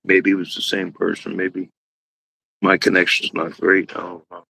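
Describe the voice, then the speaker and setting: A man with a deep, raspy voice hosting a live chat room, chatting casually to himself while he waits for people on a small external mic. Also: little pitch movement, normal speed, sharp tone, dry recording, poor audio. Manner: rambling, talks to himself while waiting.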